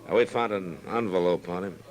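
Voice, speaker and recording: An actor playing a stern, laconic frontier marshal in a measured 1950s radio western style. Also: male voice, low-pitched, natural voice. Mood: grave, calm. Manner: measured, understated, firm. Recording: old radio drama, murmuring crowd behind.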